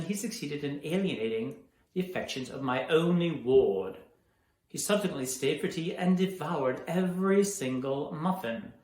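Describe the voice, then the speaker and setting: A man playing an indignant, fussy Victorian gentleman with a pompous, formal manner in a stage comedy monologue. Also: on the high side. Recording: echoey room.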